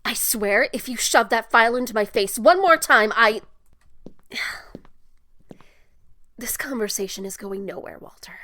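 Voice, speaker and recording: A woman's voice: a little high, a little slow, pauses often, bright, forceful tone, no echo. A woman playing an assertive young heiress who runs the family company in an audio drama, firm and exasperated.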